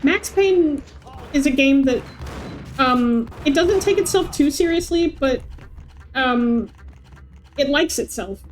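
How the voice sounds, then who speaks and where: High-voiced woman streaming a game. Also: lively intonation, slow pace, long pauses. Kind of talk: casual livestream chat over gameplay.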